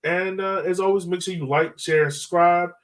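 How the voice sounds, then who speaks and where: Male podcast host, fairly high voice, chatty and slangy, sports talk, a bit distant from the microphone. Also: fairly even intonation, moderate pace. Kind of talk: casual sports podcast chat. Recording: voice slightly far from mic.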